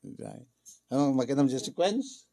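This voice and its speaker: A man with an Ilocano accent chatting casually in a halting, jokey, rambling way. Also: medium pitch, very animated, gravelly, mellow. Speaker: radio drama actor and host. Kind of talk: casual chat with bursts of singing.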